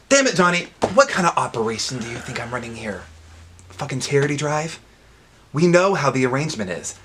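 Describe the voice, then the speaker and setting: A man's voice: medium pitch, slight background noise. An actor playing a menacing, sarcastic male drug supplier in a crime drama, theatrical and threatening. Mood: angry, mocking. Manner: theatrical, sarcastic, swings from hushed threats to shouting. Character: menacing, mocking male drug supplier, old friend turned boss. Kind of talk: dramatic screen acting.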